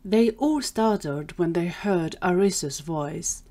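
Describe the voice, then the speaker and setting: Lower-voiced woman narrating fiction. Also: animated, takes it slowly, warm tone. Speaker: narrator and performer. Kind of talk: scripted audio fiction narration.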